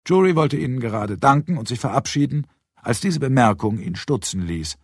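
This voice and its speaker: A man narrating a literary audiobook with expressive, dramatic phrasing. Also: medium pitch, moderate pace, slightly rough, dry recording, clean studio sound. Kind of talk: scripted literary narration of a crime novel.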